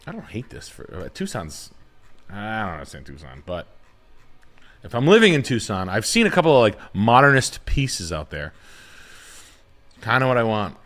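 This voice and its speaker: Man host, bright voice, lively pitch, rambling, crude, laid-back. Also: normal speed, long pauses. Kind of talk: casual livestream chat.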